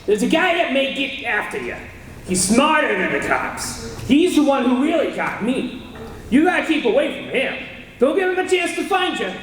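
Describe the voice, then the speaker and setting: High-pitched condemned gangster, real lively tough talk, echoey hall, far off mic. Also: male voice, normal speed, exaggerated voice. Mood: scheming. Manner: tough gangster slang, tense and pleading. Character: condemned male killer, bitter and scheming. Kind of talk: 1930s radio drama acting. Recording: echoey old radio recording from far off.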